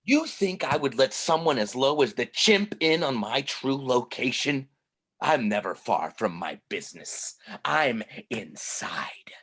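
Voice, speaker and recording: A man acts out a masked crime boss in a stage comedy. The voice is husky and swings widely in pitch. He takes his time, gloating and nursing a grudge with theatrical relish. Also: sing-song, fairly bright tone, exaggerated voice. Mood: gloating menace. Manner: theatrical, drawn-out, swearing. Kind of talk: table read of a comedy play. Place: remote video call.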